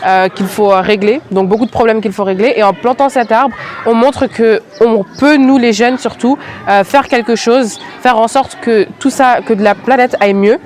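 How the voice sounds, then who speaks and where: A woman's voice: fairly high pitch, fairly even intonation, normal speed, darkish tone. A teenage girl from France, a student, answering an interview hesitantly with many fillers. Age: teens.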